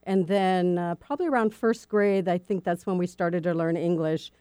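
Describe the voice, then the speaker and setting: Smooth-voiced middle-aged woman. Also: lowish voice, moderate pace, dark tone, no room sound, clean studio sound. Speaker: guest. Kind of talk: casual interview chat.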